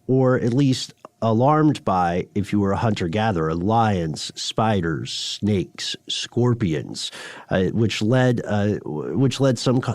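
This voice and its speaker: A man co-hosting a podcast, explaining things conversationally in measured, wordy sentences with playful asides. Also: on the low side, a little mellow, dry recording, clean studio sound. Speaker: podcast co-host.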